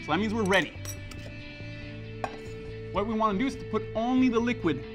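A man's voice: high-pitched, lively intonation, a little fast, music in the background. A middle-aged man hosting a casual, explanatory science demonstration in an indoor lab, speaking informally as he works. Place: indoor school chemistry lab.

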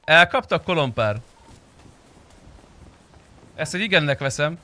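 Bright, high-pitched man gaming. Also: animated. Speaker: streamer playing a roleplay game. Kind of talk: casual in-game roleplay banter. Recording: faint game car noise behind. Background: game car and ambience sounds.

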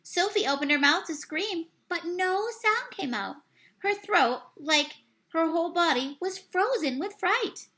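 Young female teacher, webcam read-aloud at home; lively, bright storyteller who drops to a hush for suspense. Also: extremely high pitch, a little slow. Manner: expressive storytelling, swells and hushes for suspense; apologizes for slips and losing her place. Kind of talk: children's book read-aloud. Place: small room at home, plain wall behind. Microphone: webcam at desk distance.

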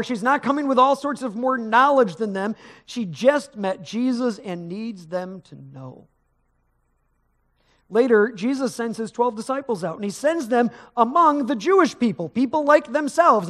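A man's voice: extremely high pitch, fairly bright tone. A middle-aged man, a pastor, preaching a sermon on stage in a large church sanctuary, in a conversational manner that rises with emphasis. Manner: conversational preaching, rising with emphasis, gesturing while pacing the stage.

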